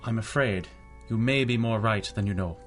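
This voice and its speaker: Actor as an earnest, concerned parish priest. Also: male voice, fairly low pitch, moderate pace, faint music behind, lightly acted. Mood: grave concern. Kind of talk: radio drama acting.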